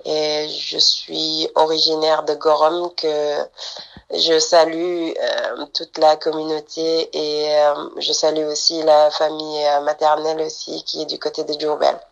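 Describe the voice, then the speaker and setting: Low, flat, gravelly-voiced woman fencer. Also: measured pace. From Senegal. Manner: hesitant, many fillers and restarts. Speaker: fencer, olympic athlete. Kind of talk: interview, telling her story. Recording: dull lo-fi sound.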